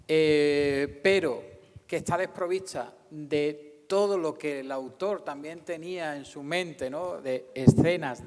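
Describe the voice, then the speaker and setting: A man presenting informally at an event, halting as he searches for words. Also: on the high side, a little mellow. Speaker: radio drama actor and presenter. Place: large indoor hall at a public event. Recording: echoey hall, long reverb.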